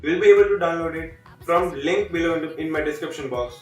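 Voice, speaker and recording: Indian man, tutorial host, somewhat high pitch, step-by-step scripted narration, light background music. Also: fast.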